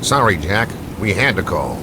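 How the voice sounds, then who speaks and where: A man's voice: low-pitched, very animated, normal speed. An actor playing an uneasy small-town policeman, folksy as he explains things to a colleague in game dialogue. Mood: uneasy, explaining. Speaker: police officer. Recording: loud storm noise over dialogue.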